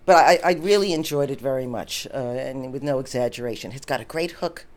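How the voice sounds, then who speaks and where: A woman's voice: extremely low pitch, fairly quick, a little mellow. An older woman hosting an interview, chatty and encouraging with her guest. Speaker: interviewer host. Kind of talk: casual interview chat.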